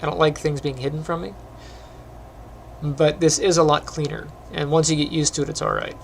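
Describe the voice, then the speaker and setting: Middle-aged male teacher, gravelly and phlegmy, rambling casual tutorial delivery at a desk mic, slightly higher voice, faint hiss behind. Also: a little fast. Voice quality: phlegmy, clears throat often. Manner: relaxed, rambling, many ums. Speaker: animation teacher. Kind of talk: casual screen-recorded tutorial chat.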